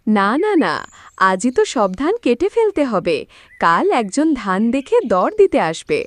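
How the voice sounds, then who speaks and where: Bossy village wife, shrewd and scolding, very lively swings for a cartoon. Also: female voice, medium pitch, measured pace, no echo. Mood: urgent. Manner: scolding, emphatic, theatrical. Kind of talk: cartoon moral-story voice acting.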